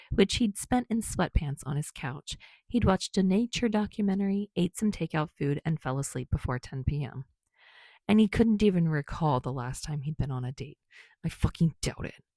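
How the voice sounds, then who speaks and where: Woman reading a story out loud, lowish raspy voice w lots of fry, very dry recording. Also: dry recording. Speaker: amateur audiobook narrator. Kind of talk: scripted story narration.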